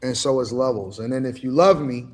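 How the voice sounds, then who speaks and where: A man hosting a casual group talk, explaining his view step by step. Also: medium pitch. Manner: measured, explains point by point. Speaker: podcast host.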